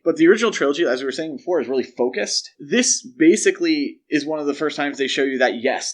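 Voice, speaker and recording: Male podcast host, average pitch, conversational and opinionated film chat. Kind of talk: casual film discussion.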